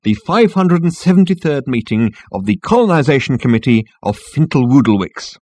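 A man's voice: medium pitch, sing-song, moderate pace, warm tone, no echo, voice pushed up. A man voicing a genial, vague male captain in an audiobook, pleasant, polite and chatty.

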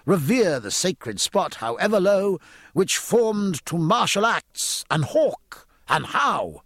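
Proud young midshipman poet played by a man, mock-grand, declamatory, raised animated pitch, audiobook, dry. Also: takes it slowly, no echo, full character voice. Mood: grandly poetic. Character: pompous, proud young midshipman reciting his own verse. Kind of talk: audiobook character acting.

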